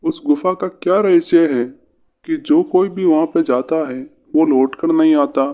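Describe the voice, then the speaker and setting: A man playing an earnest, brave and curious young village son in a cartoon fairy tale, with deliberate storybook delivery. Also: fairly high pitch, fairly even intonation, dull audio, close to an everyday voice.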